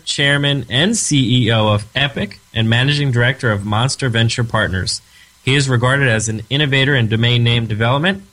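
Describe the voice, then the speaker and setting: A man's voice: medium pitch, fairly bright tone. A man hosting a radio interview show, talking in a friendly, rambling way with restarts.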